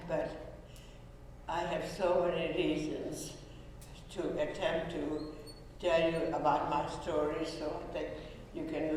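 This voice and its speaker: A woman speaks slowly and earnestly, pausing and restarting her phrases. She is heard from a distance across a big, echoing school hall. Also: sits low, a little mellow, a little hiss or hum. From Hungary. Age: 90s. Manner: earnest, halting, with frequent restarts. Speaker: Holocaust survivor speaking to students. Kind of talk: personal testimony talk. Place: school room or auditorium. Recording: echoey hall, voice from far off.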